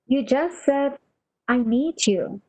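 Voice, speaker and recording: A woman acting in a radio play as a faithful nurse, gentle, deferential and reassuring, on a slightly dull recording. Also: sits high, lively intonation, takes it slowly, no echo, exaggerated voice. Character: faithful, soothing, deferential female nurse hiding bad news.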